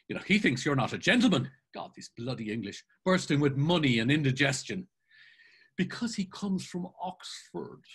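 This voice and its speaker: A man's voice: medium pitch, a bit bright, breathy edge, exaggerated voice. A man playing a boisterous, irreverent young man in a dramatised literary reading, teasing and declaiming theatrically. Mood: scornful teasing.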